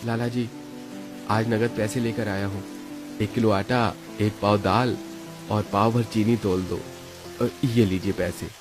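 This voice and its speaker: A man's voice: fairly low pitch, steady tone, a little slow, over music, lightly acted. A man voicing a poor, worried labourer and father in a cartoon moral story, plaintive and earnest. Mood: humble. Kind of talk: Hindi animated moral story acting.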